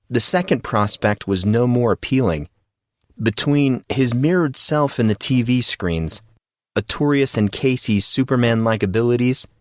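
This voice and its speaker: A man narrating a fiction audiobook in a dramatic, deliberate scripted style. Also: lowish voice, no room sound, studio quality.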